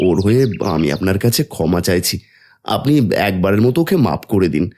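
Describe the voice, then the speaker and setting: A man's voice: low-pitched, lively intonation, brisk, darkish tone. A man playing an earnest, stern elder brother in a village fairy-tale cartoon. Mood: apologetic. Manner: earnest, admonishing.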